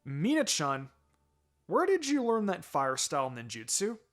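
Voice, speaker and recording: A man voicing a proud, gentle, doting ninja father in a story reading. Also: on the high side, huge pitch swings, a little slow. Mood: surprised, indulgent.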